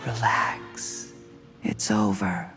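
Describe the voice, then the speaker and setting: An actor playing a smooth-talking, scheming young usurper with polite, calculating manners in a dramatic video game. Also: male voice, sits high, sing-song, very slow, over music, heavily acted. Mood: hushed, menacing.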